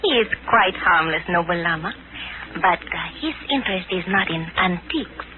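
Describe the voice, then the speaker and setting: An actress playing an elegant, secretive, courteous Chinese antiques dealer with formal, gracious, deliberate phrasing, in 1940s radio style. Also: female voice, fairly low pitch, lively intonation, natural voice. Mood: coy. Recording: muffled, noisy 1940s radio recording.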